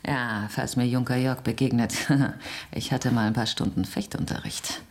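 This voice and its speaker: A woman's voice: rumbling low, steady tone, moderate pace. An actress in a radio drama playing a spirited, sceptical woman tourist who talks in a candid, impulsive, colloquial way. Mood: playful.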